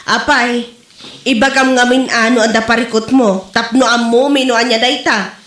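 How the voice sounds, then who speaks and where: A woman's voice: fairly high pitch, fairly even intonation, moderate pace, a bit bright, natural voice. A woman with an Ilocano accent playing a worried, scolding middle-aged mother and exasperated wife in a comedy radio drama. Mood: shocked, scolding. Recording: roomy, echoey voice recording.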